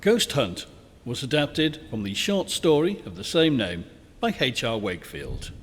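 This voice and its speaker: Animated male narrator in deep reverb. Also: unhurried, slight background noise. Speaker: podcast narrator reading closing credits. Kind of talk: scripted narration, spooky story outro. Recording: long reverberant hall effect.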